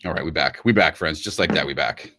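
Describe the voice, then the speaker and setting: A man hosting a morning radio show and live stream, talking loudly and playfully in casual banter and laughing often. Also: extremely low pitch, fast talker, background noise. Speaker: radio host.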